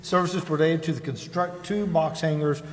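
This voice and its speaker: A man's voice: a little high, steady tone, normal speed. A man chairing a formal meeting, reading out agenda items and calling for discussion in procedural language. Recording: echoey council chamber, faint noise.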